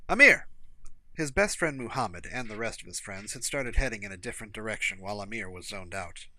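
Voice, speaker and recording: A man's voice: fairly low pitch, quick pace, sharp tone. A man reading scripted fiction as an audiobook narrator.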